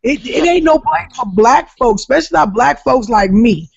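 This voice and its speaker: A woman from Jacksonville, Florida with a raspy voice, telling profane stories in casual chat as a comedian guest, often laughing loudly. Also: sits high, lively intonation, normal speed. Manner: brash, profane storytelling with loud laughter. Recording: dull lo-fi podcast audio.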